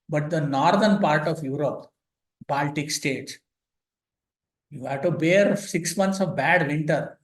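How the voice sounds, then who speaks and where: Older Indian professor lecturing, echoey room. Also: male voice, a little high. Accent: Indian English. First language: Kannada. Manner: explanatory, pointing at slides, dry asides. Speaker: visiting professor. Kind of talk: online lecture.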